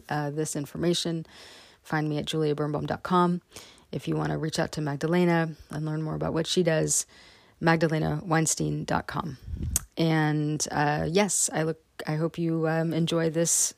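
Low, gravelly-voiced woman podcast host. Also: darkish tone, no room sound. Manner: rambling, many ums and restarts. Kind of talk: casual podcast intro and interview.

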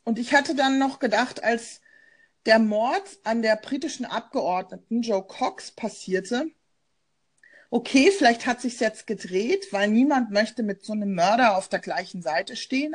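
A German woman co-hosts a casual podcast over a remote call, speaking in a slightly high voice. She talks in a thoughtful, personal way and often pauses on fillers. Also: expressive. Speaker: podcast co-host, journalist.

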